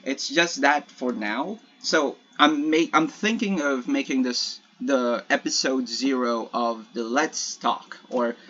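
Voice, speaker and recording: A man's voice: medium pitch, slow, hardly any pauses, bright, forceful tone, dull audio, faint music behind. A young man whose first language is Ilocano, talking casually in English while he plays a game. He hesitates often, restarts his sentences and corrects himself. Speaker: solo podcaster playing a block-building game. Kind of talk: casual rambling commentary while gaming.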